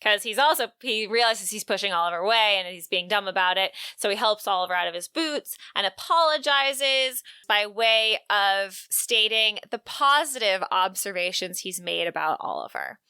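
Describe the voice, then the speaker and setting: A woman co-hosting a podcast, recapping a book and reading out quotes with measured, wry storytelling. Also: mid-range voice, lively intonation, pushed and bright, smooth, no echo. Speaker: podcast co-host.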